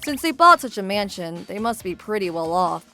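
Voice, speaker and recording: Woman playing a lively, friendly young wife. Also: medium pitch, moderate pace. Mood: storytelling. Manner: expressive storytelling. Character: friendly, ordinary young wife telling her own story. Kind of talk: scripted manga dub narration. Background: background music.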